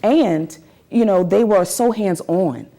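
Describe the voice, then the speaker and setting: A woman's voice: medium pitch, slow pace, warm tone. A woman speaking as an interview guest, telling her own story in an earnest, emphatic way and repeating phrases for emphasis. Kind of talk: conversational interview, personal storytelling.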